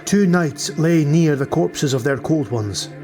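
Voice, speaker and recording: A man's voice: a little high, expressive, measured pace, over music. A man narrating a fantasy audiobook in a dramatic, scripted storytelling style.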